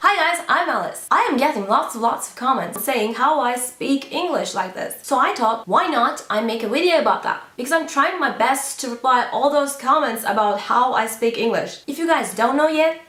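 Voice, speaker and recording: Female vlogger, 20s, native Turkish speaker, casual and frank, slightly high voice, roomy echo. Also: expressive, normal speed, few pauses, a bit bright. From Turkey. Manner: chatty, candid, with frequent fillers and non-native grammar. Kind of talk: casual talk to camera.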